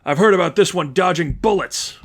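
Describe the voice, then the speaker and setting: Man playing a hulking thug, higher-pitched, blunt, hot-tempered, easily alarmed, audiobook crime story. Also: a little fast. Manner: blunt, cursing, agitated. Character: big, gruff, hot-tempered male henchman, easily alarmed.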